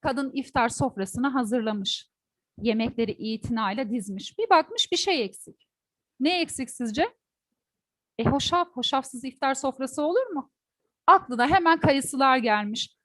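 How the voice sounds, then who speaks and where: A woman tells a traditional folk tale in a high voice. She is expressive and addresses her listeners directly with questions. The sound is dry and close. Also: measured pace, no echo, low-quality recording. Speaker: storyteller; teacher. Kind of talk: oral folk-tale storytelling to an audience.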